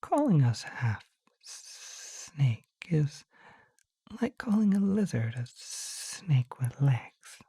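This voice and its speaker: A woman voicing a gentle, shy young male naga in an ASMR roleplay, speaking in a soft, hesitant, breathy whisper. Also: deep voice, big pitch swings, slow, dry recording, full character voice. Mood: shy, explaining. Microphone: close microphone, dry.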